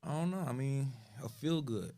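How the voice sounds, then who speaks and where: A man's voice: mid-range voice, lively intonation, normal speed, warm tone, no room sound. A man from Florida, a musician guest, chatting casually and laid-back, often saying "you know what I'm saying". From Pompano Beach, Florida. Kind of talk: casual chat.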